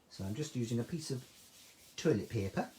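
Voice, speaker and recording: A man's voice: medium pitch, mellow, slight background noise. A man with a soothing voice, chatting through a live craft tutorial and reading out viewer comments. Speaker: craft demonstrator.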